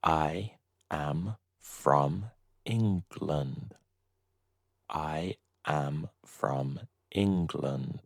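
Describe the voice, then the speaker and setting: A man reading a slow, scripted beginner English lesson, saying each short sentence twice with deliberate pauses. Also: rumbling low, no echo, studio quality. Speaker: English teacher.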